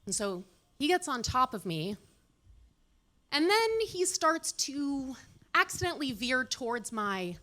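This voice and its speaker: A woman's voice: sits high, big pitch swings, a little slow, pushed and bright. A woman in her late thirties performing a stand-up set at a comedy club, delivering dry, self-deprecating punchlines. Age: 30s. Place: comedy club stage with live audience.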